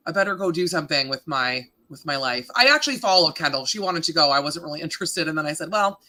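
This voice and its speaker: A woman in her thirties from Canada with a deep voice, a radio morning host chatting casually in an interview, laughing often and filling with ums. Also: animated, fast, sharp tone. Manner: chatty, laughing, self-deprecating, many fillers.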